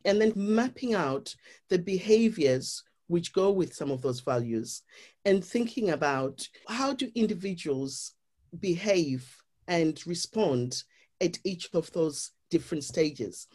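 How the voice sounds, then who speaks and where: Zimbabwean-accented guest explaining things earnestly, lots of "you know", mid pitch. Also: lively intonation, takes it slowly, darkish tone. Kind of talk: reflective interview talk.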